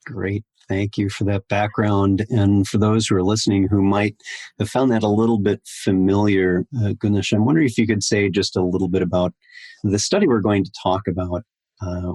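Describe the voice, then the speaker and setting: A man hosting a podcast interview, speaking in a measured, gently appreciative way. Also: sits low, dry recording. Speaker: podcast host.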